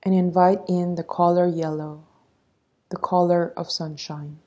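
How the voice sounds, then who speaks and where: A woman leading a guided meditation in a soft, breathy voice, soothing and measured as she gives instructions. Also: lowish voice, level tone.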